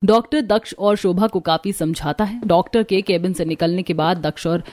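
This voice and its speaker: A woman's voice: lowish voice, moderate pace, darkish tone. A woman reading scripted narration for a cartoon family story.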